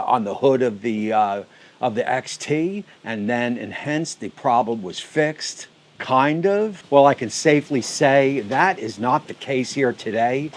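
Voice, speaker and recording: A man's voice: mid-range voice, a little mellow. A man hosting a backyard barbecue channel, talking casually through a smoker fix with lots of fillers and restarts. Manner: chatty, many fillers and restarts. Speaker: BBQ channel host. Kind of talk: casual how-to vlog.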